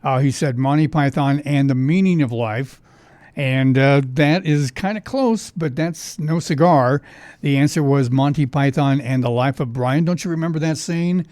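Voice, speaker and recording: A man's voice: mid-range voice, moderate pace, darkish tone, no echo. A man hosting a casual oldies trivia show on the radio, talking in a chatty, folksy, conversational way. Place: radio studio.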